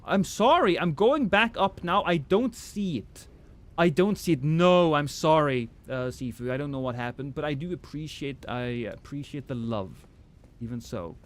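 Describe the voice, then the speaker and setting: A man's voice: on the high side, lively intonation, moderate pace. Norwegian man with British-tinged accent. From Norway. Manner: chatty, self-deprecating, many restarts. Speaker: streamer. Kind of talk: casual gameplay livestream chat.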